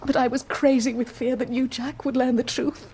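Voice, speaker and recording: An actress playing an anguished, dignified married woman confessing a secret, speaking formally and with deliberate passion in a period radio drama. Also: high voice, little pitch movement, a little mellow, breathy edge. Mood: anguished confession. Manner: formal, deliberate, impassioned, declamatory.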